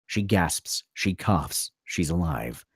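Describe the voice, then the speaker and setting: A man's voice: low-pitched, animated, slow, husky, no echo, clean studio sound. A man narrating a screenplay's stage directions at a table read, theatrical and punchy in short clipped phrases.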